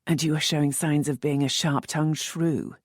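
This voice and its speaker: A woman narrator voicing a teasing, wry young earl in an audiobook, speaking dry and measured. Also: low voice, steady tone, a little mellow, no echo. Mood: gentle.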